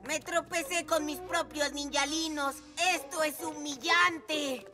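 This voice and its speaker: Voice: sits high, fairly even intonation, slow. An actor voicing a boastful, scheming boy ninja villain in a Latin American Spanish cartoon dub, haughty and theatrical with a drawl. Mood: scornful. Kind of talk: animated series dub acting. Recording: music under the voice.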